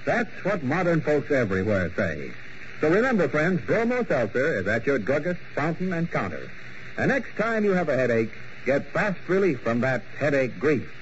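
A man's voice: on the high side, big pitch swings, normal speed. A man hosting a 1940s radio mystery show in a sly, mocking manner full of grim jokes. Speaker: program host and announcer. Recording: muffled old radio broadcast with faint hiss.

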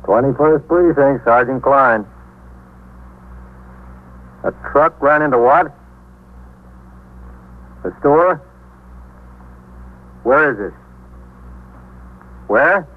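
Measured, insistent police desk sergeant. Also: male voice, mid-range voice, expressive. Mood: urgent, businesslike. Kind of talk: 1950s radio drama acting. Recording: tinny 1950s radio recording.

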